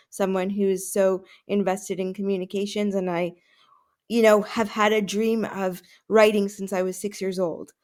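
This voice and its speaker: Earnest woman, Russian first language. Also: mid-range voice. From Canada. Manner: earnest, with frequent fillers and self-corrections. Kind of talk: podcast interview answers.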